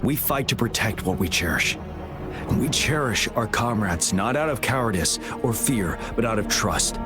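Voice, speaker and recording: A man voicing a composed, resolute military commander in a video game, measured and solemn, with music behind him. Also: fairly low pitch, expressive, natural voice. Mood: earnest, firm.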